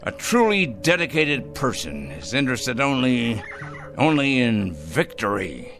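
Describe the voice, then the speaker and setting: A sharp, forceful voice for a fanatical, self-righteous busybody in a radio drama. He is prim and formal, measuring his words slowly and leaning on them with insistence. His intonation leaps dramatically up and down. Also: medium pitch, very animated, creaky, faint music behind, full character voice. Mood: smug, weary zeal. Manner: prim, emphatic, formal, halting for effect. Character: fussy, self-righteous fanatical male crank denouncing strangers.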